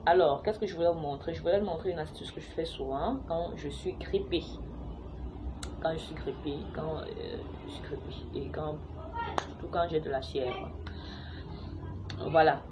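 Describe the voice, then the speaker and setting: A woman's voice: low-pitched, normal speed, long pauses, background noise. A young woman from Cameroon, chatting to camera at home about a home remedy, hesitant, with many fillers and restarts. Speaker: vlogger sharing a home remedy. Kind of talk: casual how-to talk to camera. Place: home, with noisy neighbours and children playing outside.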